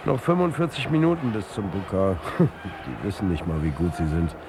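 Wry, defiant football coach. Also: male voice, low voice, animated, normal speed, music in the background. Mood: fired up, proud. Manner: dry, sardonic, conversational. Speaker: actor in a radio drama. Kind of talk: German radio drama acting.

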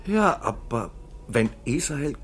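An insistent, skeptical engineer in a radio drama. His husky voice sits at middling pitch and jumps about animatedly. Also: big pitch swings, slow, full character voice. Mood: agitated.

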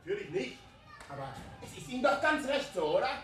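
Coarse petty crook, swooping voice. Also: male voice, very high voice, sing-song, fast, fairly bright tone, heavily acted. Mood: defiant, demanding. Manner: defiant, coarse. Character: crude, resentful male porter, a petty crook demanding payment. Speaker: actor in radio crime drama. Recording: echoey room, clear noise.

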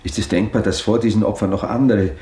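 An actor playing a reserved, melancholy baron in a radio play, speaking with formal detachment. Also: male voice, on the low side, steady tone, quick pace, warm tone, a little hiss or hum, lightly acted. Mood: probing. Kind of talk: naturalistic German radio play acting.

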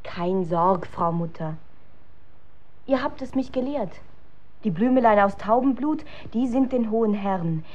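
A young courtesan, cunning yet dutiful to her mother. She speaks in archaic radio-play phrases at a medium female pitch, on a slightly dull recording. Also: animated, a little slow, darkish tone. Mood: sly, reassuring. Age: young adult. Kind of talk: German radio drama acting.

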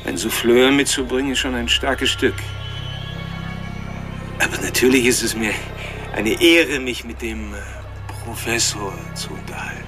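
An actor in a radio drama playing a taunting, intellectual serial killer, with a mocking, theatrical way of drawing out phrases. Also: male voice, medium pitch, music in the background, natural voice. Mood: cool, arguing. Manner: mocking, theatrical, mannered, teasing, drawn-out phrasing.

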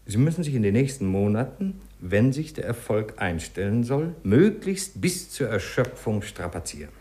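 Odd brisk doctor, sure of himself, deep-ish and really up-and-down, old 50s radio play style. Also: male voice, very animated, mellow. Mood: self-assured, instructing. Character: eccentric, brisk, self-assured male doctor. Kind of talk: 1950s German radio play acting.

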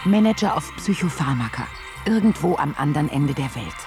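A fairly high male voice serves as ironic narrator in a radio play. He lays out observations in clipped, listed phrases over clear music. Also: expressive, a little fast. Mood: detached. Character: ironic observer narrating rootless globe-trotting managers. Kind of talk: scripted radio drama narration. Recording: music behind the voice.

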